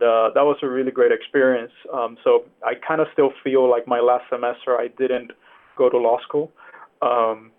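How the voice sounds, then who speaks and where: A young man from Cuba talks through his answers in a level, unvaried tone, often pausing on ums and uhs. His voice comes through a thin, tinny phone line, and he sounds relaxed as an interview guest. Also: mid-range voice. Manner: hesitant, many fillers. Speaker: guest attorney.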